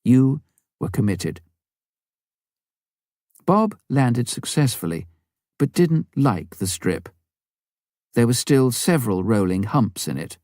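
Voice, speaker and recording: A man reading a memoir as an audiobook, in measured, clearly phrased scripted narration. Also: medium pitch, sing-song, dark tone, no room sound, studio quality. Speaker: audiobook reader.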